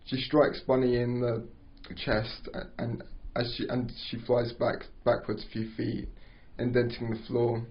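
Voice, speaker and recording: A man narrating stage directions at an amateur script table read, halting and stumbling over words. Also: medium pitch, monotone. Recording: dull lo-fi recording.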